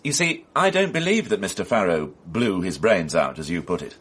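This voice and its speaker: A man's voice: low-pitched, big pitch swings, moderate pace, fairly bright tone, slightly rough, exaggerated voice. A man playing an ambitious, persuasive police inspector in a radio mystery drama, with an emphatic, confiding manner. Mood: insistent.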